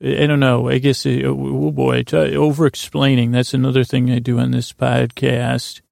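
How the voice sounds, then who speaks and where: A man hosting a podcast, rambling in a halting, self-deprecating, whimsical monologue that keeps wandering off topic, with a gravelly, creaky voice. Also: mid-range voice, little pitch movement, darkish tone, dry recording, studio quality. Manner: meandering, halting, goes off topic mid-sentence. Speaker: podcast host.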